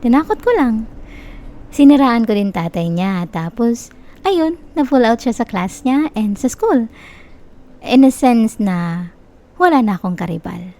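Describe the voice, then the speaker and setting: Casting: actress for young Filipino-accented yandere stalker in ASMR roleplay; fairly high, very gentle mellow tone, possessive, manipulative, sweetly threatening. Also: female voice, very animated, a little slow, long pauses. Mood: quietly menacing. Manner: soft, coaxing, teasing, quietly menacing. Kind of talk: Tagalog-style ASMR roleplay, intimate close address.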